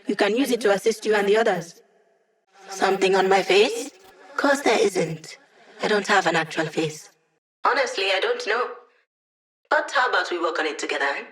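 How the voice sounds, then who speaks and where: Woman acting a cocky young hacker, sarcastic, casual slang, mid pitch, game voice lines. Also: natural voice. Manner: sardonic, quippy, casual slang. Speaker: game character, hacker support.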